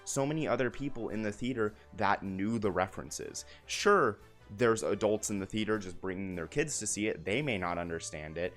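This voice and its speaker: A man's voice: lowish voice, lively intonation, moderate pace. A young man giving casual, opinionated commentary to viewers in an enthusiastic, emphatic way, often repeating his phrases. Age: young adult. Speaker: video essay host. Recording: music bed behind the voice.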